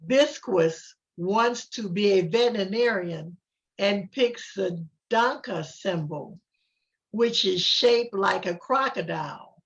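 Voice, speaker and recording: An older woman reading a children's picture book aloud in simple, deliberate short phrases. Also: mid-range voice, lively intonation, muffled recording. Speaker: volunteer storybook reader.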